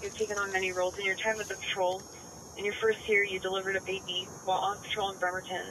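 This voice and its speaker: A man's voice: high voice, level tone, fast talker. A man working as a police dispatcher, reading a formal tribute over the radio. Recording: noisy police radio transmission recorded in car.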